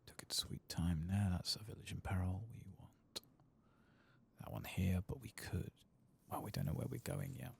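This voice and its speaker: Deep, creaky, soft-spoken male ASMR gamer. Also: huge pitch swings, normal speed. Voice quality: soft, drifting into whispers. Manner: casual, muttering asides to himself. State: tired, late at night. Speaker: host of a game playthrough. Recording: faint background noise.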